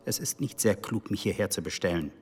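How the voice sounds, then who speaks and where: An actor plays a portly, stolid male informer in a radio drama. His voice sits low, and he answers tersely, with sullen self-defence. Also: brisk, faint music behind, close to an everyday voice. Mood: stiffly protesting. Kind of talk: German radio drama acting.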